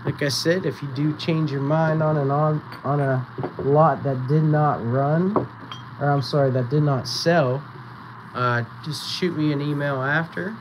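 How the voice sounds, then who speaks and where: Slow-talking man running a live auction. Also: a little high, noisy background. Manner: slow, relaxed, chatty, counting down bids. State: voice going raspy. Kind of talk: live-stream auction chat. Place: small indoor photo booth setup.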